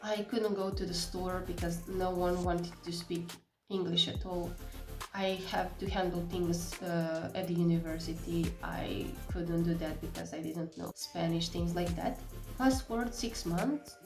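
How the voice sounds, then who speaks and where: A woman's voice: on the low side, fairly even intonation, unhurried. A young woman with a Serbian accent, a native Serbian speaker, teaching an online language lesson in a friendly, encouraging, casual way. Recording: roomy echo with faint music.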